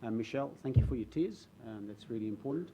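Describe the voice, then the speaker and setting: A man from the Netherlands with a Dutch accent, a new councillor speaking earnestly in a council chamber, halting with fillers and restarts. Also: medium pitch, animated, warm tone, slight background noise, low-quality recording. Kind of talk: speech in a council debate. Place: council chamber with public gallery.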